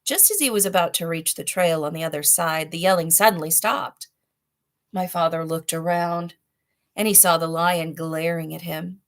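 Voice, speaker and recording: A woman's voice: lowish voice, moderate pace. A woman narrating a children's story aloud as a reader, with chatty asides to her young listeners.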